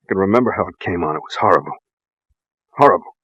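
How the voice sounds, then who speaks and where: An actor in a 1940s radio drama playing a grave, troubled husband who speaks in a somber, deliberate way. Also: male voice, sits low, lively intonation, fairly bright tone, breathy edge, no echo, exaggerated voice. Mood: somber, brooding. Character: grave, troubled husband protecting his wife. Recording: dull old radio recording, dry.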